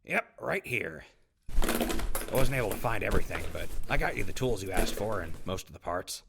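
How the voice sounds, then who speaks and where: Gravelly, casual male wasteland survivor played by an actor. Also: on the low side, very animated, moderate pace, background noise. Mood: upbeat. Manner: casual, upbeat, slangy. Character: friendly, joking wasteland survivor and supply scavenger. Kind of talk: post-apocalyptic audio drama acting.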